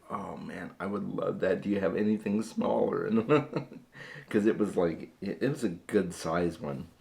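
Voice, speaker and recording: A hoarse man hosting a book vlog, chatting casually to camera and often repeating words as he starts sentences. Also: sits low, a little mellow. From Phoenix area, USA. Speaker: book vlogger.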